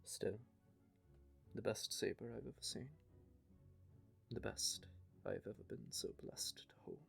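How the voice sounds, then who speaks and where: A man playing a young knave who tells his story in a wry, rambling way, with dark humour. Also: fairly low pitch, fairly even intonation, unhurried, creaky, faint music behind, heavily acted. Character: young male knave, wry, haunted by grief. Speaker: confessing rogue. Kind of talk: hobby audio drama monologue.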